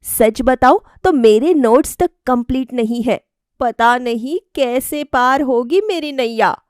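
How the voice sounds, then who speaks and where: A woman voicing a lively, stubborn young college woman in a cartoon story, expressive and insistent. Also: sits high, takes it slowly, dry recording, studio quality. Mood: breezy.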